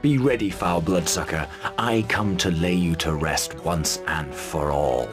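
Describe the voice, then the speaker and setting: An actor playing a stern, honourable army commander in a fantasy game, speaking in a formal, commanding, terse manner. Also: male voice, low voice, unhurried, music in the background. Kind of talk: fantasy video game cutscene acting.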